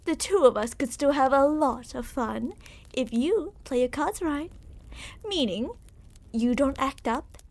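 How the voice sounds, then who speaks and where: A woman's voice: sits high, expressive, a little slow. A woman playing a smug, menacing yandere royal captor in an ASMR roleplay, speaking in a theatrical, condescending, mock-affectionate way. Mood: threatening, condescending.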